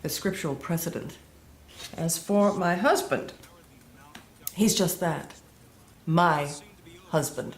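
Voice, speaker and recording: An actress playing a stern, devout, threatening wife of a powerful household in an audio drama, speaking in a cold, clipped, authoritative way. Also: female voice, fairly low pitch, slow, long pauses. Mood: cold, warning. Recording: roomy echo, clear background noise.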